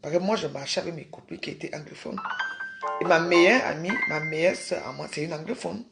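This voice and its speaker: A woman's voice: low voice, brisk, creaky, loud music behind the voice. A woman hosting a casual livestream, talking emphatically to her viewers, repeating herself and scolding them. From Cameroon. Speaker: livestream host.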